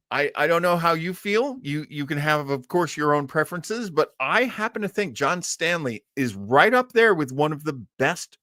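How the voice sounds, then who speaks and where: A man hosting a live-streamed show, chatting casually and jokily with viewers, rambling along and quick to chuckle. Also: a little high, a bit bright, no room sound, clean studio sound.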